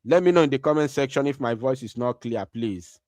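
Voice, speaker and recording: A man with a Nigerian English accent holds forth to his online audience, hammering his points by saying them again and again. He often stalls on drawn-out fillers. His voice sits at a middle pitch and sounds dry and close, with no room around it. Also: darkish tone, no echo. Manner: emphatic, repeats phrases, frequent filler hesitations. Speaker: online channel host. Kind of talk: commentary monologue to viewers.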